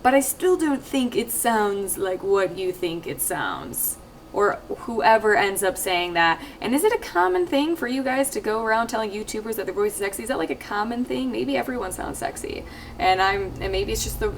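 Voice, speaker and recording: A young woman talking casually to her viewers, rambling with lots of fillers and restarts, her voice a little husky. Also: lively intonation, moderate pace. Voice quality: slightly husky, low-ish voice. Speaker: YouTube let's player. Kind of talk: casual talk to camera. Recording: distant mic with faint ambient noise.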